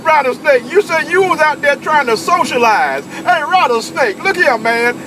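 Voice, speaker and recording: A man's voice: very high-pitched, lively intonation, normal speed, crowd noise. A man bantering on CB radio in a teasing, repetitive, whooping manner. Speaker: CB radio operator. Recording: loud engine-like radio noise.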